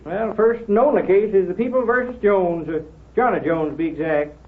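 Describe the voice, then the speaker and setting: An actor playing an officious small-town court crier, proclaiming the session in a 1930s radio drama. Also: male voice, high-pitched, normal speed. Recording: tinny 1930s radio recording.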